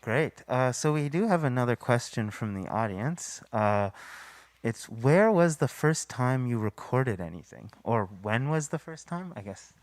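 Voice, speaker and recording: A man's voice: medium pitch, lively intonation, a little slow, dry recording. A man hosting and moderating a post-show Q&A, asking questions in a hesitant, friendly way. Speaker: festival host and Q&A moderator. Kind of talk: moderating a live Q&A.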